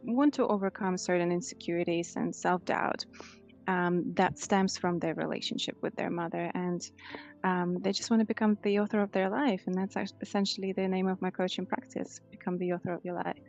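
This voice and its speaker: A woman's voice: on the low side, fairly even intonation, brisk, gravelly, darkish tone, highs cut off, soft background music. A woman in her thirties with a Russian accent, answering conversationally as a life coach in an interview. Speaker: life coach, podcast guest.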